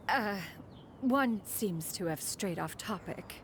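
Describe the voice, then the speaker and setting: An actress playing a proud, fussy immortal master in a video game, speaking in formal, archaic, imperious diction. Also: female voice, mid-range voice, expressive, takes it slowly, slight background noise. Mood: reflective.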